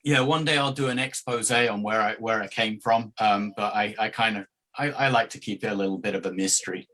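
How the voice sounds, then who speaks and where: A man's voice: lowish voice, fairly even intonation, fairly quick, fairly bright tone. A man with a hard-to-place mixed accent, a guest on a podcast, telling his story in a hesitant, rambling way with many restarts. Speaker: guest, travel video maker. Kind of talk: casual podcast interview.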